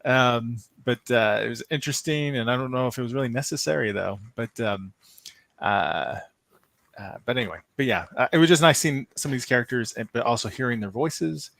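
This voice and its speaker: A man's voice: medium pitch, a little fast, creaky, fairly bright tone, no echo. A man chatting casually as a fan panelist, offering informal opinions and qualifying them as he goes.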